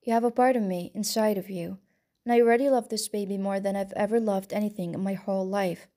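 A woman narrator voicing a remorseful young man who pleads quietly. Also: medium pitch, fairly even intonation, fast, close to an everyday voice. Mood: pleading.

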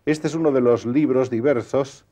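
A middle-aged man hosting a formal television interview, presenting background in a measured, informative way in a studio. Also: mid-range voice, dark tone. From Spain. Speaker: TV interviewer host.

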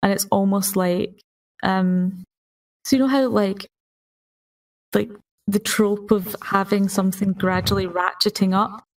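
A woman's voice: medium pitch, fairly even intonation, measured pace. A woman in a casual, unscripted discussion as a podcast panelist. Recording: slightly distant from the mic.

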